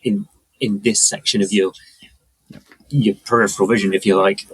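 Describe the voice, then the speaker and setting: A man's voice: lowish voice, flat delivery, normal speed, husky, no echo, rough recording. A man explaining things casually as a guest in a conversation. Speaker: podcast guest, audio mixer.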